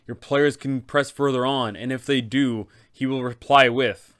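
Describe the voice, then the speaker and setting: A man's voice: mid-range voice, steady tone, unhurried, a bit bright, clear, resonant tone. A man hosting a game master guide, talking in an enthusiastic, casual way. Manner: enthusiastic, upbeat. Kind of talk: casual tutorial narration.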